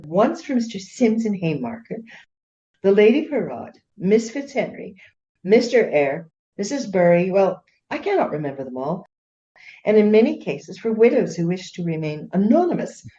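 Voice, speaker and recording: A woman playing a proud, indignant Regency gentlewoman in a radio play, speaking formally and emphatically in defence of her husband. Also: mid-range voice, big pitch swings, normal speed, highs cut off, lightly acted. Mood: emphatic, defensive.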